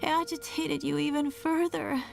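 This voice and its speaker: A woman voicing a gentle, frail, self-blaming younger sibling in a dramatic video game, with sighing, halting, earnest speech. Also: high-pitched, little pitch movement, creaky, soft background music, exaggerated voice. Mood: regretful, weary. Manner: slow, halting, earnest confession.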